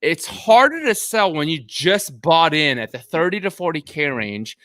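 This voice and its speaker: A man's voice: on the high side, big pitch swings, moderate pace, a bit bright, no echo. A man whose first language is Korean, tipsy and rambling with many restarts as a panelist on a casual video call chat. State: drunk. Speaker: podcast panelist.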